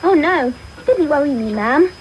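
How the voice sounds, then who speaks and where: Radio play: young orphan boy, piping high, sweet, deferential; clear hiss over the recording. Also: lively intonation, moderate pace. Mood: anxious, pleading. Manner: earnest, deferential. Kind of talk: radio drama acting. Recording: noisy, hissy shortwave-style radio taping.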